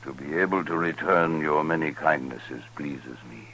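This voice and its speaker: An actor playing a dignified, stoic chief in a 1950s radio western, speaking formally and sparingly. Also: male voice, very deep voice, moderate pace, bright, forceful tone, breathy edge, full character voice. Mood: solemn welcome. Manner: solemn, formal, sparing with words. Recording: faintly noisy 1950s radio broadcast.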